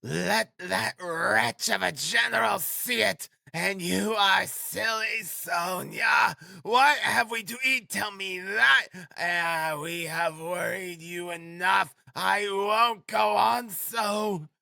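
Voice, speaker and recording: Man acting a frantic woman, shrill and high. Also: slow, gravelly, no echo, exaggerated voice. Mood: frantic, defiant. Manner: shrill shouting, pleading, scolding. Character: frantic proud consumptive mother, ragged and hysterical.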